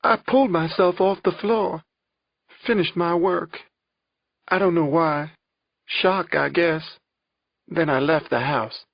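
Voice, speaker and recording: A man voicing a frightened, distraught young woman on the witness stand, in a faint girlish voice with halting delivery. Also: mid-range voice, expressive, husky, no echo, muffled recording, pitched above the performer's own voice. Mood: anguished, tearful. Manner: halting, anguished.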